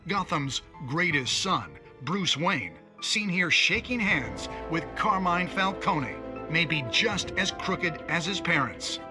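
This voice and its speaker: High-voiced dramatic TV newsman. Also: expressive, slow pace. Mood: dramatic, accusing. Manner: dramatic, editorializing. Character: opinionated male TV news reporter, dramatic and snide. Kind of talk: mock news broadcast in video game. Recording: music behind the voice.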